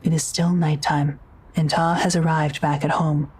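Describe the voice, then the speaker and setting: A woman narrator with a low-pitched voice. She reads scripted audio drama narration, describing each action. There is faint noise in the recording. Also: flat delivery, normal speed, darkish tone.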